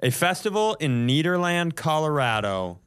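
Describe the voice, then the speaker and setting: A man with a fairly high voice hosts a comedy podcast. He chats casually and chuckles through his crude, joking commentary. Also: big pitch swings, normal speed, fairly bright tone, clean tone. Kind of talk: casual comic banter.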